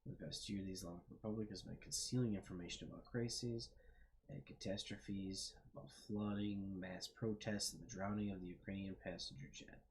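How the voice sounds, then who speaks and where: A man's voice: low voice, steady tone, a little fast, slight background noise, poor audio. A young man hosting a game video, reading text aloud and musing with fillers as he explores. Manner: thoughtful, trailing off with fillers. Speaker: gaming video host. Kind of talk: casual let's-play commentary, reading aloud.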